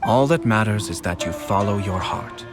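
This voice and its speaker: A young man voicing a calm, courteous nobleman in a game, speaking in a smooth, measured, polite way. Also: on the low side, close to an everyday voice. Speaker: noble clan head. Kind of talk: game voice acting. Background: music.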